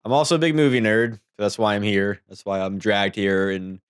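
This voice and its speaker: A man's voice: lowish voice, steady tone, normal speed, clear, resonant tone. A man co-hosting a podcast in casual banter, wry and nitpicking as he jokes around. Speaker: podcast co-host, video game reviewer. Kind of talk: casual banter and movie discussion.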